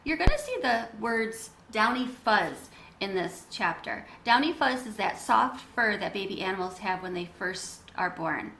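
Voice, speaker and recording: Female children's reader, bright and lively, teacherly emphasis, echoey room with faint noise. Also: mid-range voice, a little slow, vocal fry. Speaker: children's read-aloud reader. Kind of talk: expressive storybook narration and explanation for children. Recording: roomy echo with background noise.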